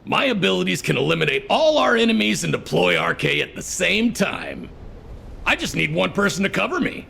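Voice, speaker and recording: An actor playing a cocky, eager young male fighter in a game cutscene, gravelly and boastful. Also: high-pitched, very animated, fairly quick, sharp tone, full character voice.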